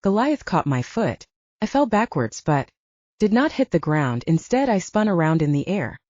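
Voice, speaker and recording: Low, bright-voiced woman narrating with lively swings. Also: moderate pace, dry recording, lo-fi sound. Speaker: audiobook narrator. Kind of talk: dramatic first-person fiction narration.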